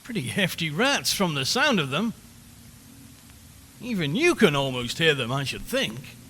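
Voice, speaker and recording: A man's voice: on the high side, very animated, a little slow, pushed and bright, full character voice. A man playing an uneasy, chatty radio reporter who talks wryly to listeners from a haunted house in a horror audio drama. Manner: conversational, wry, addressing listeners. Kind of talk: live radio broadcast horror drama acting. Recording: long reverb, background hiss and ambience.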